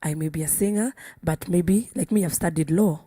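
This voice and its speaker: Female panelist, Kenyan accent, slightly low relaxed voice, dry close recording, conversational. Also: moderate pace, breathy edge, dry recording. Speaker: singer panelist. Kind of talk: casual panel discussion.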